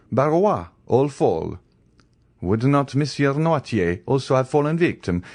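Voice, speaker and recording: A man voicing a grave, calm nobleman who gives measured, solemn warnings in a protective, fatherly way. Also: mid-range voice, no room sound, voice pushed up.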